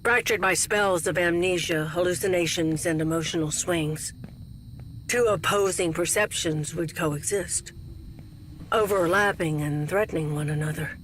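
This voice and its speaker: A woman's voice: on the low side, a bit bright, slight background noise. Solemn, haunted older woman. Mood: grave warning. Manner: solemn, measured. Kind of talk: dramatic game cutscene acting.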